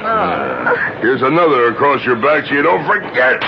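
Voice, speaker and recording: An actor playing a cruel, scornful, commanding taskmaster of the underworld in a 1970s radio drama. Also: male voice, a little high, sing-song, normal speed, gravelly, heavily acted. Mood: scornful, menacing. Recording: muffled, noisy 1970s radio recording.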